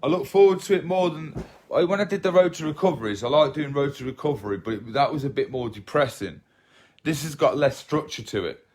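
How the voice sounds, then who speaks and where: Man, 30s, from England, soft relaxed voice, rambling livestream chat, repeats himself, phone mic at home. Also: medium pitch, fairly quick. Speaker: livestream host. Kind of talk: casual livestream chat reading comments. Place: small living room at home, indoors. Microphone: phone held at arm's length.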